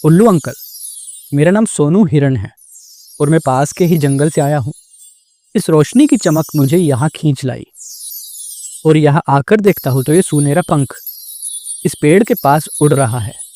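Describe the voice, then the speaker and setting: A man's voice: fairly high pitch, lively intonation, a little slow, long pauses, warm tone. A man playing a dreamy, eager young male deer in a children's cartoon, speaking earnestly. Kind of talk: Hindi children's cartoon dialogue.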